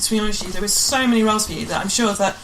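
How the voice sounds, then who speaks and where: A woman's voice: medium pitch, racing, fairly bright tone, slight background noise, rough recording. A woman speaking casually and conversationally as an interview guest. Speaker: interview guest, amateur pantomime volunteer. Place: room in own home.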